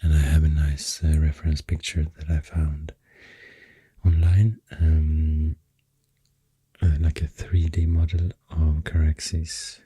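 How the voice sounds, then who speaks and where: A man with a Swedish accent rambles in soft-spoken, near-whispered ASMR, gentle and unhurried, close to the microphone. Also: level tone, dry recording. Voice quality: breathy, near-whispered deep voice. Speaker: ASMR video host.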